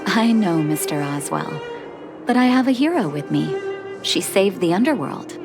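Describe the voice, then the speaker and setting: A woman voicing a gentle, resolute doctor and leader in a video game, soft, measured and thoughtful. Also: lowish voice, sing-song, music in the background. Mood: wistful, quiet.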